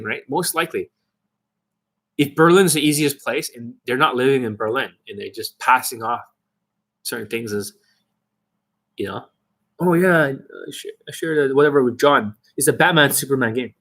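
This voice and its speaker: A young man speaking straight to his viewers in a blunt, swearing rant. He fires off rhetorical question after rhetorical question in a husky voice at an ordinary pitch. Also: moderate pace, pauses often, a bit bright. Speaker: dating coach. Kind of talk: ranting monologue to camera.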